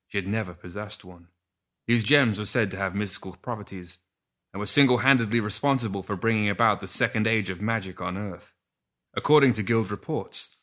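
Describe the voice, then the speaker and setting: Bright, low-voiced man reading fiction. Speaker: story reader. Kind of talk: audiobook fiction narration.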